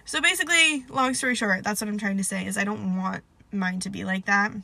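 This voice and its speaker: A woman's voice: mid-range voice, brisk, gravelly, sharp tone, dry recording. A young woman with a stuffed-up, nasal voice, hosting a casual solo podcast and rambling with lots of "like" and "um.". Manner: rambling, filler-heavy, self-deprecating. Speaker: podcast host.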